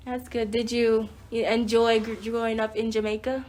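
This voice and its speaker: A young woman interviewing her grandmother, reading prepared questions with hesitant pauses. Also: on the high side, flat delivery, a little mellow, poor audio. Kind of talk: oral history interview questions. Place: home in Chicago.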